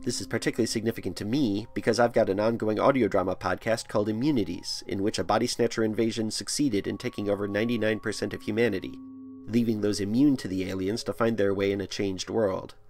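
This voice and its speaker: A man's voice: on the low side, steady tone, fast, a little mellow. A man delivering a scripted commentary as a podcast host. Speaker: podcast host and audio drama maker.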